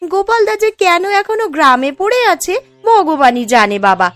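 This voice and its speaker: A woman's voice: extremely high pitch, sing-song, normal speed, fairly bright tone, no echo, faint music behind, heavily acted. A woman voicing a snide, boastful, nosy village housewife in a cartoon, taunting as she talks. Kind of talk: cartoon story dialogue.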